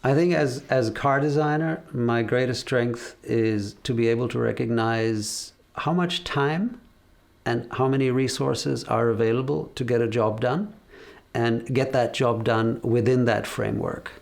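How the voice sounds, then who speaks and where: Gravelly-voiced man, measured and reflective. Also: mid-range voice. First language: English. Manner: measured, reflective, with frequent hesitations. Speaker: car designer. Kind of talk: documentary interview.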